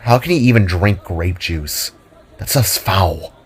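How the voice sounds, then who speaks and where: A man's voice: low-pitched, lively intonation, a little slow, slightly rough, pitched below the performer's own voice, exaggerated voice. A man voicing a sullen, weary twenty-year-old younger sister with a sulky, hesitant manner in a narrated story.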